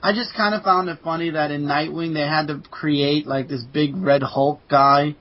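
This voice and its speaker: A man's voice: on the high side, steady tone, normal speed. A man co-hosting a podcast, casually chatting and recapping comic books in a dull, lo-fi recording.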